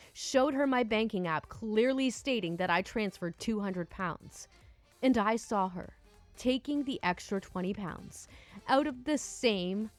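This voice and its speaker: A woman narrating online stories in an animated way, with exasperated, indignant asides. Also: medium pitch, dry recording. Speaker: storyteller reading online stories. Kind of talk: story narration with commentary.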